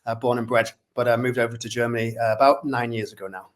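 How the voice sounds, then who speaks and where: A man in his forties with a Mancunian English accent speaks at an ordinary middle pitch. He chats in a friendly, self-deprecating way, filling pauses with uh and um. The sound comes from a webcam on a home video call. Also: level tone. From Manchester, England. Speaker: guest. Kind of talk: casual interview chat. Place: indoor room at home.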